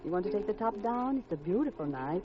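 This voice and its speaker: A slinky, thrill-seeking femme fatale from a 1950s radio mystery, played by a woman. Her pitch swings widely as she teases and plays coy. The recording is old and muffled. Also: animated, quick pace, lightly acted. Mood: bored, flirtatious.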